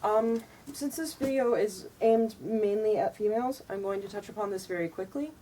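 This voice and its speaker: A young woman with a deeper voice giving frank, chatty advice to camera, sounding tired, with many ums and likes. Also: moderate pace, warm tone, distant mic, a little hiss or hum, poor audio. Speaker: vlogger giving reenactor tips. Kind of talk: casual advice talk to camera.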